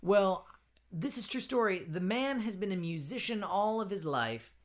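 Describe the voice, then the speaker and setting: A man's voice: sits high, animated, moderate pace. Joking male comedy podcast host. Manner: playful, joking. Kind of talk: comedy interview.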